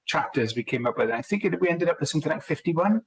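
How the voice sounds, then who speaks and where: A man's voice: a little high, lively intonation, fast, breathy edge. A man co-authoring a book, chatting casually in an interview and often restarting his sentences. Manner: rambling, restarts sentences, friendly. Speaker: co-author guest.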